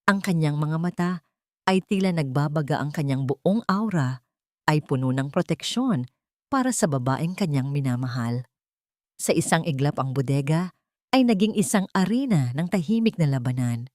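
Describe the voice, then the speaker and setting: A woman's voice: sits low, expressive, normal speed, darkish tone, no echo, studio quality. A woman narrating a scripted dramatic story in a solemn, suspenseful manner.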